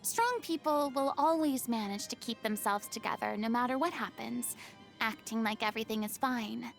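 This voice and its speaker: A proud young former star of the stage in a video game. Her voice sits high, and she speaks theatrically, vain and boastful yet easily flustered and vulnerable underneath. Faint music plays behind her. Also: moderate pace, gravelly. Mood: reflective. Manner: theatrical, boastful, prone to sighs and flustered hesitation. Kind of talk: video game character monologue.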